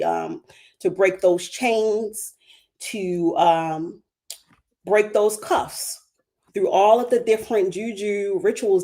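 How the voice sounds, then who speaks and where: A woman's voice: mid-range voice, mellow. A woman with a Baltimore accent speaking on a livestream as a spiritual guest, passionate and emphatic, building her points through repeated phrases. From Baltimore, USA. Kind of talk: livestream talk.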